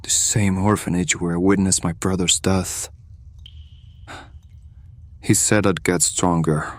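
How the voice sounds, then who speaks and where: A man's voice: sits low, steady tone, slow. An actor playing a moody, bitter male hireling in an audio drama, speaking in a curt, sardonic, sullen way.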